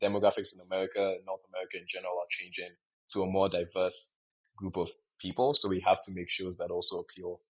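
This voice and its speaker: Husky low-voiced young man, dull recording. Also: completely flat delivery, quick pace, fairly bright tone. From Nigeria. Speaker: podcast co-host. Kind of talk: casual discussion. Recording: dull, lo-fi sound.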